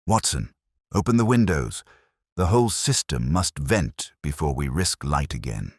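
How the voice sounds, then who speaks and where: A man's voice: rumbling low, very animated, measured pace, breathy edge, no echo, clean studio sound, full character voice. A man voicing a coolly precise, commanding Victorian detective with curt, cerebral delivery in an audiobook. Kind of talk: audiobook character dialogue.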